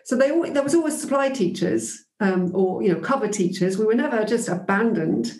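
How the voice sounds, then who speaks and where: A woman's voice: mid-range voice, lively intonation, a little fast, a little mellow, roomy sound, rough recording. A woman guest in an interview, reflecting thoughtfully on her teaching days, with frequent ums and restarts. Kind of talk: reflective conversational storytelling.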